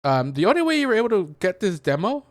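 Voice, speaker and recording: A man's voice: high voice, very animated, quick pace, no echo. A man doing casual let's play commentary, explaining things in a hesitant, rambling way into a close mic. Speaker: gaming content creator.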